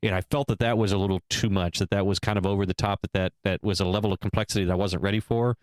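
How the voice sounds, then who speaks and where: A man's voice: lowish voice, steady tone, racing, dry recording, clean studio sound. A man co-hosting a podcast, weighing both sides aloud with many restarts and hesitations. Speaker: podcast co-host. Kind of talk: casual discussion.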